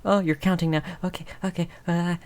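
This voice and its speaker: A woman voicing a tiny timid mouse person in an improvised ASMR roleplay, soft and breathy, stammering nervously and often slipping into a whisper. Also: lowish voice, steady tone, natural voice. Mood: panicky. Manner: nervous stammering, halting and repeating words. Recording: faint background noise with rain ambience. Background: rain sounds.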